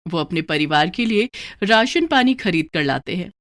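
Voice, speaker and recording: Expressive woman narrating a children's story. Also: lowish voice, normal speed.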